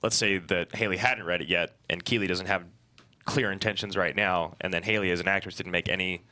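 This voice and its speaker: Male panelist, very low but forceful, bright voice, conversational delivery. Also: steady tone, fairly quick. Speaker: actor on a panel. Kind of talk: casual panel discussion.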